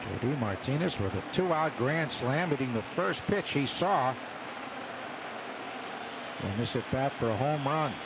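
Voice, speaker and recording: A man's voice: mid-range voice, animated, a little slow, pauses often. A man calling baseball play-by-play live on the radio from a stadium booth. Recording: radio broadcast over loud ballpark background noise.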